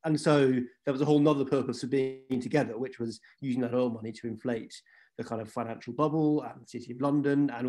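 A man's voice: mid-range voice, a little mellow. Man with a Scottish accent, talking at length. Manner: discursive, many "you know" asides. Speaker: panel guest. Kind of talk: online panel discussion. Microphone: video call.

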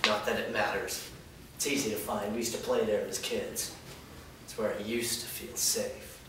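A man's voice: fairly low pitch, level tone, normal speed, lightly acted. A man in a staged reading playing a servile, resentful human minion who craves power, with a fawning, scheming manner. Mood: guarded. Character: whiny, servile human minion craving vampirehood, creepy and resentful. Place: indoor performance room, seated before an audience. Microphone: far camera. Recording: distant mic, very echoey noisy room.

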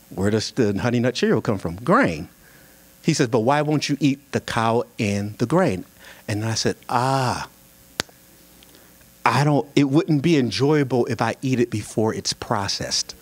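A young man speaking at a singles conference from a pulpit with a handheld mic, telling a story with humor in a sermon-like style. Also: medium pitch. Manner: lively, storytelling, jokes with the crowd, animated delivery. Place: church sanctuary at a pulpit. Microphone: handheld microphone.

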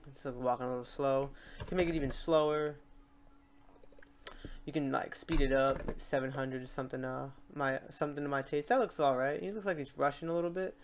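A tutorial host walking through software steps in an informal way, thinking aloud while demonstrating. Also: medium pitch, steady tone, brisk, a little hiss or hum. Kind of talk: casual screen-recorded software tutorial.

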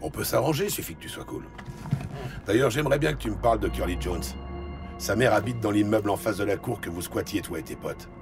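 An actor playing a brash, foul-mouthed bullying police detective in a French audio drama, sardonic and intimidating, with a gravelly voice. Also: male voice, fairly low pitch, lively intonation, a little fast. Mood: pressing. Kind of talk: French audio drama acting and noir narration. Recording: loud music bed behind the voice.

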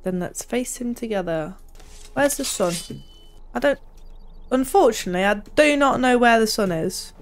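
A woman's voice: on the high side, animated, moderate pace, a little hiss or hum. A young woman doing casual gameplay commentary, playful with dry complaints, close on a desk microphone. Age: young adult. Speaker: game streamer. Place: small room at home, indoors. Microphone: desk microphone, close, with headset. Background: game music.

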